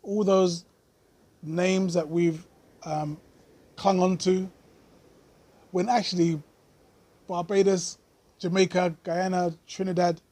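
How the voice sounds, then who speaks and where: Husky-voiced man, earnest vlog commentary. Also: high-pitched, little pitch movement, dark tone. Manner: earnest, thoughtful, with frequent pauses and fillers. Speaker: vlogger. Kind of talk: unscripted commentary to camera.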